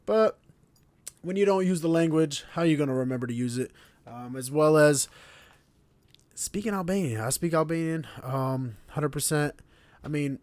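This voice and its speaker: A man's voice: fairly high pitch, no room sound. Proud, casual man on podcast. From born in Slovenia, raised in Connecticut. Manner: relaxed, proud, repetitive phrasing. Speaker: podcast host. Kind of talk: casual monologue to camera. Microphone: camera mic.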